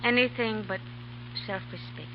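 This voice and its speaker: An earnest young lady in love, played by an actress, pleading with the man she loves. Her voice is medium in pitch. The sound is dull, off an old radio broadcast with faint hum. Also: measured pace, close to an everyday voice. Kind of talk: 1930s radio drama acting.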